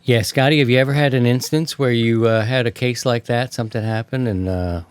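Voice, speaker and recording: A man's voice: mid-range voice, a little fast, clean tone, no room sound. An American man hosting a casual radio hobby talk show, telling stories in a slangy way with playful mock insults. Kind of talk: casual chat.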